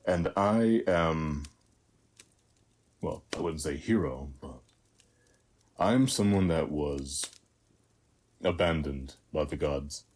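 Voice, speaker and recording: Man acting very deep, husky, weary warrior. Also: darkish tone, heavily acted. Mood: solemn. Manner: measured, reflective, pausing between short phrases. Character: weary, battle-hardened male warrior of Ares, bereaved father. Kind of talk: scripted audio roleplay addressed to the listener.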